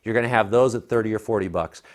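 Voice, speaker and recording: A man's voice: on the low side, fast. A man in his thirties giving a casual, emphatic and opinionated product review as a co-host. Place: living room by a fireplace, indoors. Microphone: lapel mic.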